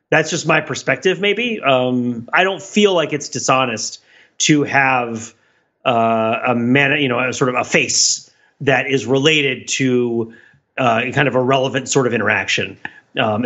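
A man's voice: mid-range voice, lively intonation, moderate pace, pushed and bright. A middle-aged man co-hosting a podcast in casual conversation, discursive and analytical with wry humor, recorded with a dull, lo-fi sound. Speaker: podcast co-host.

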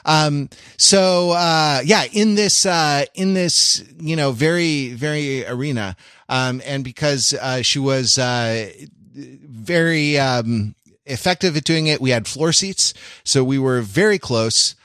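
A man's voice: medium pitch, takes it slowly, fairly bright tone, smooth, no room sound, studio quality. An American man co-hosting a podcast, telling stories casually in a rambling, wry, erudite manner. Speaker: podcast co-host. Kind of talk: casual conversational anecdote telling.

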